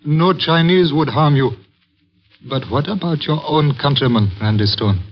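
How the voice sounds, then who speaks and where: High-pitched, formal Chinese father. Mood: stern, warning. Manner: formal, measured, cryptic, stilted phrasing. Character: courteous, guarded Chinese father and restaurant keeper, fatalistic. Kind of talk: old radio drama acting. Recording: muffled old radio recording, faint noise.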